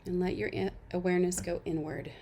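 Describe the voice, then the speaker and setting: Woman, meditation guide, gentle unhurried delivery, frequent vocal fry, faint background noise. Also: fairly low pitch, level tone. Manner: gentle, unhurried instructions with soft invitations. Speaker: meditation class instructor. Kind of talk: guided meditation, body scan narration.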